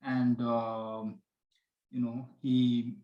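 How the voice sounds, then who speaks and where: A man's voice: mid-range voice, level tone, extremely slow, lo-fi sound. A man hosting a podcast, affirming his guest often and speaking in a casual interview manner. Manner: affirming, frequent back-channel agreement. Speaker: podcast host.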